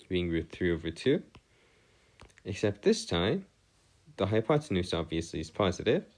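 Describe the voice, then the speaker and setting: Male tutor, deep register, lively melodic swings, bookish phrasing, hesitant stop-and-start explanatory delivery. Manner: formal, bookish wording. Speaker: math tutor. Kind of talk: improvised lesson explanation on trigonometry.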